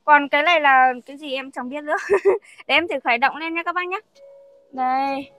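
A woman's voice: high voice, normal speed, a bit bright, dry recording, soft background music. A young woman selling used cars, chatting casually to viewers and repeating her points as she walks them through a car and a showroom. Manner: chatty, repeats herself, addresses viewers as "các bác". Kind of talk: casual showroom sales walkthrough.

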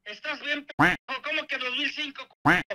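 A man's voice: very high voice, normal speed, background noise. A middle-aged man with a Mexican accent, arguing indignantly and foul-mouthed over a tinny phone speaker. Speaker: prank call victim, insurance customer. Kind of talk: heated phone argument. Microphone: phone speaker held near studio mic.

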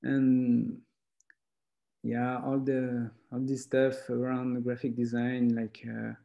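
A man in his thirties speaks slowly and haltingly in English, hunting for words. His mellow voice comes through a dull, lo-fi video call line. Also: mid-range voice, monotone, vocal fry. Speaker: graphic designer. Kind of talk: casual chat.

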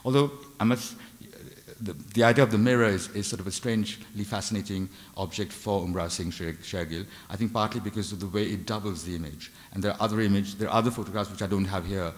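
An older man delivers an art history talk from a lectern mic, his voice very low. He hesitates constantly, restarting phrases and filling gaps with "uh" and "you know". The sound carries a long reverb from a large lecture hall. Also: fairly even intonation, fast. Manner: hesitant, many fillers and restarts. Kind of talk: unscripted art lecture with slides. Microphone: lectern microphone.

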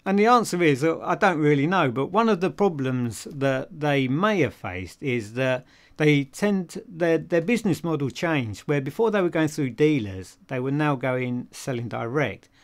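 A man's voice: fairly high pitch, lively intonation, moderate pace. An older man with a British accent giving a casual product review to camera in a conversational, emphatic way, posing questions and answering them himself. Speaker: audio dealer and video reviewer. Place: small home office room, indoors.

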